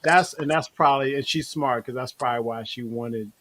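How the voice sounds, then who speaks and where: A man hosting a casual panel chat, playful and quick to laugh, often restarting his sentences. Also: mid-range voice, background noise. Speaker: host.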